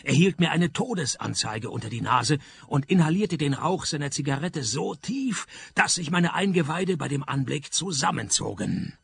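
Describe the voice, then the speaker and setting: An actor playing a sardonic, weary private detective, narrating a comic hard-boiled radio play in a deadpan manner. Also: male voice, on the high side, moderate pace, gravelly, husky, exaggerated voice. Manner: deadpan, dry wit. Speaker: narrator and main character.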